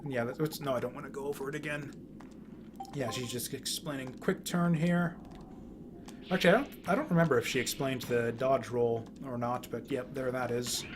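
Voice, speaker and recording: A man giving casual, rambling video game commentary, often halting and repeating words as he goes. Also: mid-range voice, steady tone. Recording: clear background noise.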